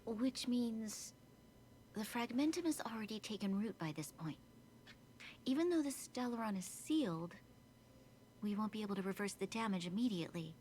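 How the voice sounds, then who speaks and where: A woman voicing a dignified, earnest young female leader in a video game, speaking in a formal, measured, solemn way. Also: mid-range voice, lively intonation, a little mellow, a little hiss or hum, natural voice. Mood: solemn, resolute.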